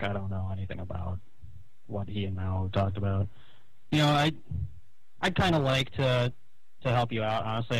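A man talking on a phone call, airing grievances in a halting, explanatory way. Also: fairly low pitch, slight background noise. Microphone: telephone call.

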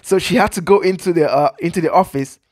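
A man's voice: high voice, fast, darkish tone, dry recording. A man in his thirties with a Nigerian accent, vlogging casually and animatedly on a headset mic in a small room, repeating points for emphasis. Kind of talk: casual vlog monologue.

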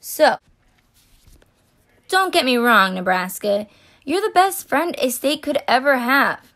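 A restless storybook state played by a woman, a male cartoon character hungry for more. The delivery is dramatic and slow, stretching key words, with a lively, swinging pitch. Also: full character voice. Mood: earnest, pleading. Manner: dramatic, drawn-out emphasis.

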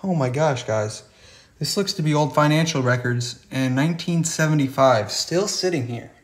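A man narrating his walk through abandoned buildings and old vehicles, excited and talking straight to viewers, filmed on a handheld phone. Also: a little high, moderate pace. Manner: excited, keeps addressing viewers as "guys". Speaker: urban explorer vlogger. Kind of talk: walkthrough narration while exploring.

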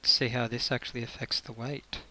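A man's voice: medium pitch, flat delivery, fairly quick, bright, forceful tone, low-quality recording. A man giving casual gameplay commentary as he builds, muttering to himself and thinking aloud. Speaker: gaming video creator. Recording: faint hiss and clicking.

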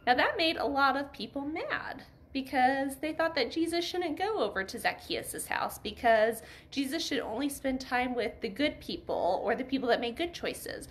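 A woman's voice: sits high, animated, moderate pace, few pauses. A woman, reading and simply explaining a story for children, often asking them questions. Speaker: Bible story reader for children. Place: living room by a fireplace.

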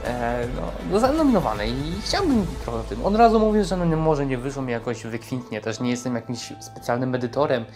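A young man introduces a video in a casual, rambling way. He hesitates as he goes, with a mid-pitched voice and light music behind him. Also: big pitch swings, a little fast, hardly any pauses. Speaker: gamer and amateur voice-over creator.

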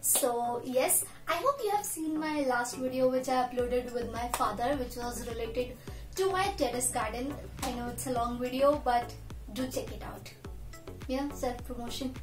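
A woman's voice: fairly high pitch, normal speed. A young woman from India with an Indian English accent, chatting casually to camera as a reaction vlogger, self-deprecating and full of "so yes" fillers. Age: young adult. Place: small room at home, indoors. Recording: roomy home room echo, faint music.

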